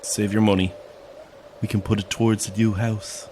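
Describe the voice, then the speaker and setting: A man's voice: fairly low pitch, level tone, moderate pace, darkish tone, slight background noise, natural voice. An actor playing a lonely, weary Irish man in his thirties in a naturalistic audio horror drama, polite, hesitant and dry in manner. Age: 30s.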